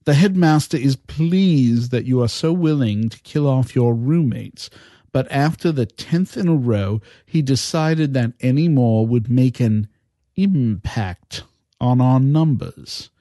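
A man playing a coldly formal, dryly amused master of the dark side in an audio drama. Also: medium pitch, expressive, no room sound, studio quality. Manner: measured, formal, wry. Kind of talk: science-fiction audio drama acting.

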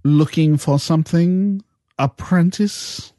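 A man playing a coldly formal, dryly amused master of the dark side in an audio drama. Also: fairly high pitch, background noise. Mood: wry amusement. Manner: measured, formal, wry. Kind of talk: science-fiction audio drama acting.

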